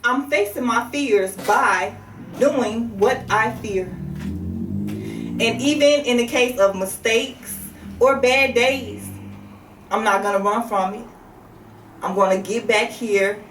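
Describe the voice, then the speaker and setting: A middle-aged American woman from Chicago hosting a radio show, talking casually and motivationally to listeners in a halting, deliberate way. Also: fairly high pitch. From Chicago, United States. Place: small radio studio. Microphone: studio microphone on desk. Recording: roomy, distant mic, faint background noise.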